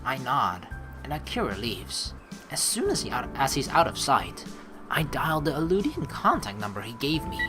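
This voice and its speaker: A man's voice: mid-range voice, huge pitch swings, moderate pace. A man reading a game's story aloud and commenting on it in a casual, slangy way, with game music behind him. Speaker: let's play host.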